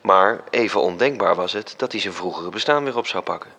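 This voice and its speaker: An actor playing a measured storyteller, reading scripted literary narration for a radio drama. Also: male voice, low voice, lively intonation, vocal fry, bright, forceful tone, exaggerated voice. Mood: reflective. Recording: music under the voice. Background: music.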